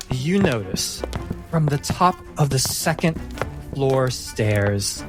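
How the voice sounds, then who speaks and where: A man narrating a tabletop fantasy game as game master, in a measured, descriptive storytelling manner. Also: medium pitch.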